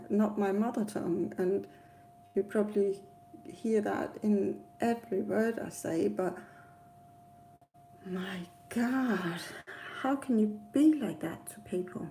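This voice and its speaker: A woman with a Danish accent chats casually about her own experiences at a slow, halting pace. Her voice is soft-edged and mellow, and she rambles with frequent pauses. There is a faint noise behind her. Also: medium pitch, creaky, poor audio. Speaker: travel vlogger. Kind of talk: casual personal storytelling to camera.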